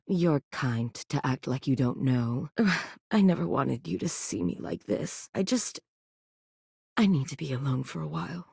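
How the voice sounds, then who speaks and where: An actress voicing a sultry, theatrical sentient sex toy in a video game, teasing and dramatic. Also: female voice, low-pitched, gravelly, mellow, slightly rough, no room sound, full character voice. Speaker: game character. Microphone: close studio mic. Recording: dry studio voice recording.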